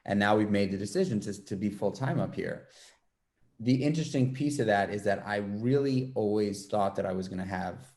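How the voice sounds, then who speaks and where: A man's voice: on the low side, brisk, darkish tone. A man from New York City hosting a podcast interview, chatting in a loose, rambling way full of fillers. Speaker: podcast host. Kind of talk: casual interview chat.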